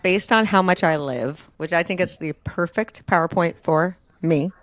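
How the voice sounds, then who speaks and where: A woman with a slightly low voice, recorded dry and close. She chats casually as a podcast co-host, playful and slangy, as if among friends. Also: moderate pace, dry recording. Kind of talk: casual comedic group chat.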